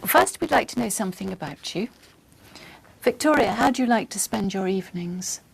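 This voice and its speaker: A woman working as an oral examiner, asking scripted questions in a formal, measured way. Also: mid-range voice, expressive, creaky, breathy edge, rough recording.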